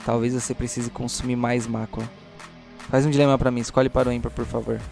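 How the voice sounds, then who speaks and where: A man's voice: mid-range voice, little pitch movement, fast, gravelly, a little mellow. A Brazilian man narrating a horror tabletop game as game master, moving between dramatic description and casual slangy asides. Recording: music playing behind the voice. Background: music.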